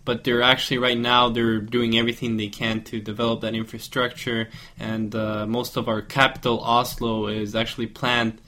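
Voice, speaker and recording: A man's voice: medium pitch, little pitch movement, moderate pace, few pauses, pushed and bright. A man taking part in an informal discussion, speaking hesitantly and restarting his phrases. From Norway. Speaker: discussion participant. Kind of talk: informal discussion in a second language.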